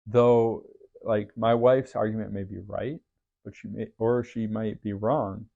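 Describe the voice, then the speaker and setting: Male podcast host, low and deep, earnest and reflective, slightly dull audio. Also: fairly even intonation, measured pace, vocal fry, mellow. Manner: earnest, faith-based, thoughtful. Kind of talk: reflective solo podcast monologue.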